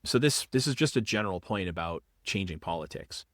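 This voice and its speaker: A man from the Boston area talks fast as a podcast guest, tumbling through explanations and correcting himself. His voice sits a little low. The sound is dry and close. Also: dry recording. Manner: quick, animated explaining with repeated restarts. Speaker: guest, political philosophy professor. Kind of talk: casual podcast interview.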